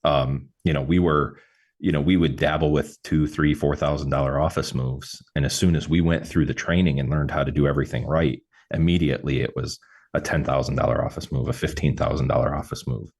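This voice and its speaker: Very deep-voiced man hosting. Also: steady tone, darkish tone. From New York State. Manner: halting, many restarts and fillers. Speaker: podcast host, mover. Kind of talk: casual interview chat.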